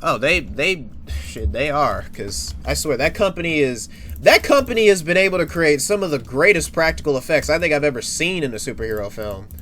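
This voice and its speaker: An excitable, foul-mouthed superhero played by a man in loose improvised banter. The voice is bright and forceful, with a faint steady hiss underneath. He talks in rushed bursts and keeps restarting his sentences. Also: a little high. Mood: casual banter. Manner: excitable, swears a lot, frequent restarts. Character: brash young adult male superhero, talkative. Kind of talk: improvised in-character chat.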